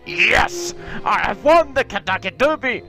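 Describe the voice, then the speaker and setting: A man playing a loud, boastful, theatrical cartoon king who declaims like an excitable announcer. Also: very high voice, measured pace, vocal fry, soft background music, full character voice. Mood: boastful, excited. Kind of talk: exaggerated cartoon comedy presenting.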